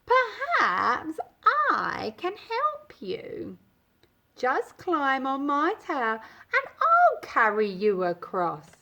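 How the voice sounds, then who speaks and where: A woman's voice: high-pitched, huge pitch swings, drawn-out words, sharp tone, heavily acted. A woman voicing a sly, grinning male fox in a storybook, coaxing as he talks.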